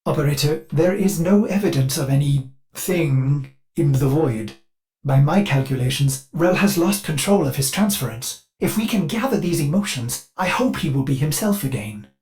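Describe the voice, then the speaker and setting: Guy doing a fussy polite game ship ai, super eager to please, pitch jumps all over, music behind. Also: expressive, moderate pace, darkish tone, natural voice. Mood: dutiful. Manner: polite, formal, theatrical, speaks of himself in third person. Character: fussy, eager, servile male ship artificial intelligence, anxious to please. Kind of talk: scripted video game character lines.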